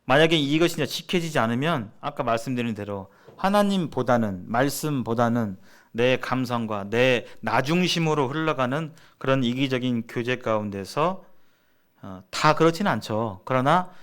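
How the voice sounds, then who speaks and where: A man speaks as a church seminar teacher. His pitch sits in the middle of the male range. He explains things in a conversational, didactic way and often turns to his listeners with questions. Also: lively intonation, moderate pace, fairly bright tone. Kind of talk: sermon-like teaching to church members.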